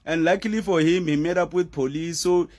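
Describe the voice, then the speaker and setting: A man giving casual, animated commentary on a news story, laughing often and using many fillers. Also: on the high side, a little fast, soft background music.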